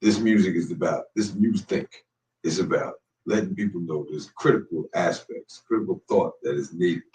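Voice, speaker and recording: A man's voice: sits low, moderate pace, darkish tone. A man in casual chat, laid-back and agreeing, sometimes preachy. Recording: distant mic with faint noise.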